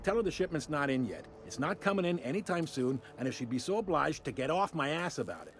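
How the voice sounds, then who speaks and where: Droll, high-pitched, swooping folksy customs man. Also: very animated, fast, a little hiss or hum. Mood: wry, confiding. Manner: drawling, droll, casually apologetic. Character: wry, folksy male space-station customs officer. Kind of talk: video game character acting.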